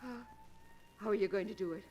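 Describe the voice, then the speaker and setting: A woman's voice: mid-range voice, fairly even intonation, a little fast, darkish tone, lo-fi sound, close to an everyday voice. An actress playing an anxious, scheming murderess who clings to her lover, melodramatic 1940s radio acting on an old recording. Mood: frightened, pleading. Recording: noisy old golden age radio recording.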